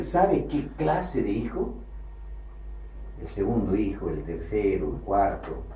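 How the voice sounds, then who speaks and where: A man preaching a sermon, emphatic, with loud bursts that drop low again. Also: slight background noise. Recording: echoey hall, from far off, faint ambience.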